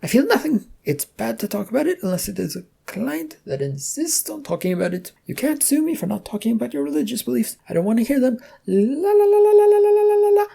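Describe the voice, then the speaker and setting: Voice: high voice, big pitch swings, quick pace, creaky, hardly any pauses, dark tone, voice pushed up, full character voice. A storyteller voicing a petulant, bossy, childish male office boss who complains indignantly. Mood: childishly stubborn.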